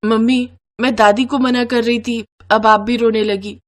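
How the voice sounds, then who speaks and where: A woman's voice: fairly high pitch, steady tone, measured pace, natural voice. A woman voicing a sweet, caring young bride who speaks earnestly and respectfully, eager to please. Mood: affectionate.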